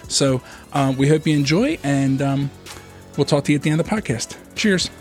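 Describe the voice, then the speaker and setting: A man's voice: medium pitch, moderate pace. Easygoing male podcast co-host, faint music. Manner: easygoing, filler-heavy intro. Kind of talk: casual podcast chat.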